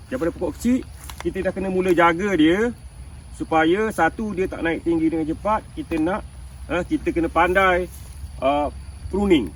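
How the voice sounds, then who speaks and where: Fifties malaysian guy chatting in his orchard, laughs a lot, mic a bit far off, faint rural noise. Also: high voice. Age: 50s. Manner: chatty, laughs often, checks with viewers. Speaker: durian farmer and channel host. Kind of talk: casual farming explainer. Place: outdoors in a durian orchard.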